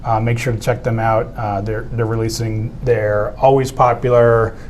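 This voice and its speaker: A man's voice: mid-range voice, level tone, a little mellow, slight background noise. Upbeat man recommending craft beers. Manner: upbeat, quick recommendations, filler ums. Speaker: beer review co-host. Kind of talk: casual tasting chat. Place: office room with a TV screen, indoors.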